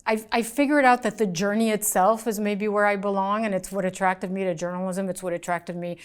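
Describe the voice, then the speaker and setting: Thoughtful middle-aged woman with a clear voice. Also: mid-range voice, steady tone, fairly quick. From United States, raised in France. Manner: articulate, thoughtful, with frequent restarts and fillers; gestures with her hands while talking. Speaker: journalist guest. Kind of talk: interview conversation. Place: small studio set, indoors. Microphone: lapel mic.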